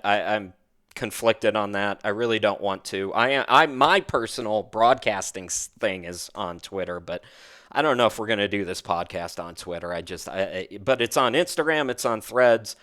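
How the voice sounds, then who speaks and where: Clear-voiced man, chatty podcast host. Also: on the low side, moderate pace, fairly bright tone. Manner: self-deprecating, rambling. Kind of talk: casual chatty interview.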